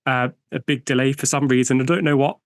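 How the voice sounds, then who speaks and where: A British man hosting an interview podcast, friendly and a little halting when he speaks off the cuff. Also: mid-range voice, steady tone, dry recording, studio quality. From United Kingdom. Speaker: podcast host.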